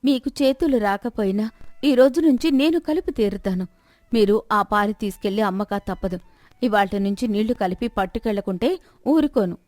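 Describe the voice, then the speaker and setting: A woman's voice: medium pitch, moderate pace, a little mellow, no room sound, natural voice. A woman voicing a poor, discontented village wife who complains and insistently nags her husband in a folk tale. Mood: insistent, bitter.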